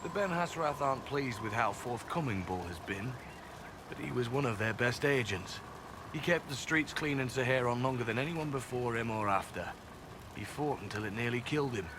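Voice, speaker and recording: A male elf spy from a fantasy game, played by a man, speaks in a medium-pitched voice. He is dry and guarded, with a quiet earnestness under the matter-of-fact delivery. Clear ambient noise sits behind him. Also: lively intonation, normal speed, natural voice. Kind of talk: video game cutscene acting. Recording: game capture with loud ambient background noise.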